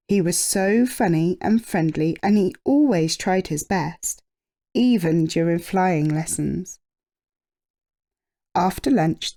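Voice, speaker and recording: A woman storyteller reading a children's picture book expressively, with drawn-out emphasis and dramatic pauses. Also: lowish voice, warm tone.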